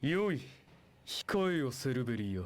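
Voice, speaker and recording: A man's voice: mid-range voice, sing-song, fairly quick, soft background music, natural voice. A man playing a haughty, commanding imperial prince in a Japanese video game, with an imperious, dismissive manner.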